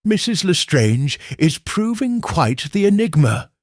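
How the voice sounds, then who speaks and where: Man doing a knowing old village lady, higher and lilting, slow and gentle, husky edge. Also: very animated, dry recording, studio quality. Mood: shrewd musing. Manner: gentle, probing, quietly knowing. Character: shrewd elderly village spinster woman.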